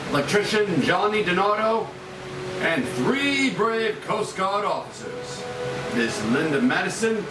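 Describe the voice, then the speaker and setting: An actor at a table read playing an American president, delivering a formal, ceremonious address a little haltingly. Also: male voice, sits high, sing-song. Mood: solemn, proud. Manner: halting, ceremonious, stumbles over the script. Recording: echoey, distant room recording with clear noise.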